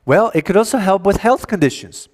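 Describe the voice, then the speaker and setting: A man's voice: high voice, lively intonation, fairly quick. Man giving a health lecture. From Cuba. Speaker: health seminar speaker at a church. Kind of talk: educational health lecture to an audience.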